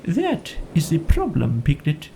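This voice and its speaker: A self-important old storybook owl, as a man performs him, pitched high and speaking slowly. He is haughty and deliberate, quick to sound put out. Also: wildly swooping pitch, vocal fry, dark tone, a little hiss or hum, full character voice. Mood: pompous. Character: pompous, easily annoyed old male owl from a children's storybook.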